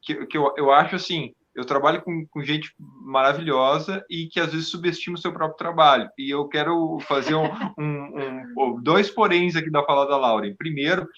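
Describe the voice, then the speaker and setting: Lightly high-voiced man chatting in interview. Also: normal speed, fairly bright tone, dull audio. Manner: stammering starts, repeats words. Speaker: theatre director, interview guest. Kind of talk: casual interview talk.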